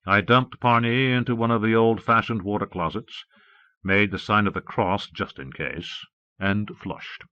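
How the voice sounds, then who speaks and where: A man's voice: on the low side, unhurried, bright, forceful tone, no room sound. A man reading a novel aloud as a scripted first-person audiobook narration. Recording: muffled old cassette audiobook.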